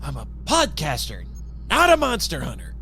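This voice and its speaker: A man narrating a scripted first-person adventure story in a theatrical, expressive way, acting out his own dialogue as he goes. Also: a little high, moderate pace, heavy background noise. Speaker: podcast host narrating an audio story.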